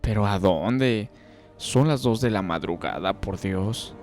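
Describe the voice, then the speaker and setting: A man voicing a startled, exasperated gentleman in an audiobook, questioning and put out. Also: lowish voice, slow, pitched below the performer's own voice. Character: male gentleman narrator, startled and exasperated at night.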